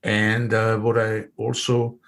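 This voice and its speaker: Low-voiced Austrian host. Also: male voice, completely flat delivery, slow, vocal fry, fairly bright tone. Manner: friendly, hesitant with fillers, backchannels. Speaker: podcast host. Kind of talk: interview conversation.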